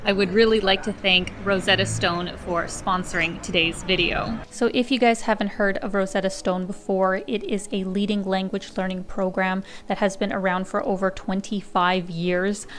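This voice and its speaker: A woman's voice: medium pitch, steady tone, moderate pace, hardly any pauses, a bit bright. A young woman, a native Russian speaker, chatting candidly into a handheld camera at an outdoor cafe, with frequent laughs. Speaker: travel vlogger. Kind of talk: casual travel vlog talk. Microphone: camera held at arm's length.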